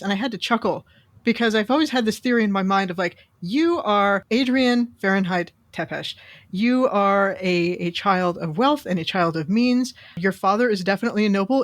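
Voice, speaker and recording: A woman with a medium-pitched voice, recorded very dry. She chats as a podcast co-host, casual and wry, with a self-deprecating fan's storytelling style. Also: no echo.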